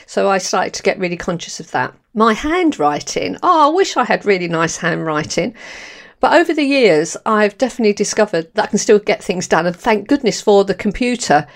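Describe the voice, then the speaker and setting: A woman's voice: medium pitch, a little fast. An older woman with a London accent hosting her podcast, talking candidly with frequent laughs. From London, England. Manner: candid, animated, laughs often. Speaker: podcast host. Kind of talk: personal monologue.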